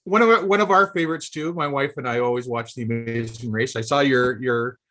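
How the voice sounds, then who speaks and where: A chatty man from Canada with a middle-pitched voice. He explains things in a loose, casual way, as on an informal video call. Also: a little fast, bright, forceful tone. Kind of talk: casual chat.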